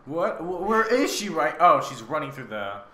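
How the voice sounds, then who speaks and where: A man's voice: on the high side, sing-song, normal speed, sharp tone. A man chatting casually and jokingly as a co-reader, interrupting with disbelief and often laughing. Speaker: guest co-reader. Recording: roomy echo with faint background noise.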